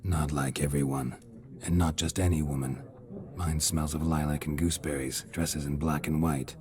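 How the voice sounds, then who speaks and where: An actor playing a gruff, weary, impatient fantasy game monster hunter, terse and deadpan, with a low gravelly voice. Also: male voice, normal speed, a crowd in the background. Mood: gruff, guarded. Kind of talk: fantasy video game acting.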